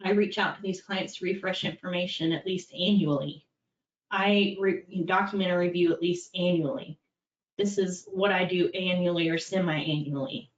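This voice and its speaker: A woman's voice: fairly low pitch, steady tone, normal speed. A middle-aged woman in a compliance role giving a candid, practical talk, with many fillers. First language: English. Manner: conversational, practical, with frequent fillers. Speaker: compliance executive presenting. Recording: far-off echoey dull-sounding room.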